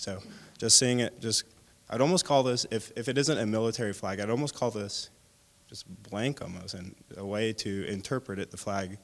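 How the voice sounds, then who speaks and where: A man's voice: lowish voice, in a large hall. A man sharing his thoughts in a group discussion, hesitant and full of fillers. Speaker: discussion participant.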